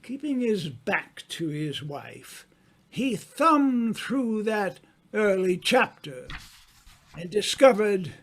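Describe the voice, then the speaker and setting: A man narrating an old-time radio mystery in a dramatic, deliberate storytelling manner. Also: high-pitched, sing-song.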